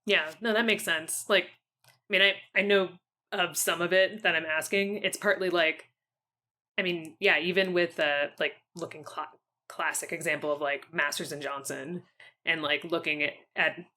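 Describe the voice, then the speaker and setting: A woman interviews in a casual podcast style. Her voice sits fairly low but has a bright, forward edge. She phrases questions haltingly and tentatively, circling back to reword them. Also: fairly even intonation.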